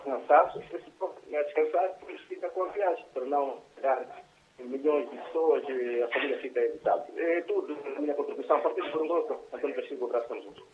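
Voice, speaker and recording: Man calling the radio from mozambique, lots of uhs, phone line sound. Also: a little high. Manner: halting, many fillers. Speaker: radio call-in listener. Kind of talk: phone-in comment.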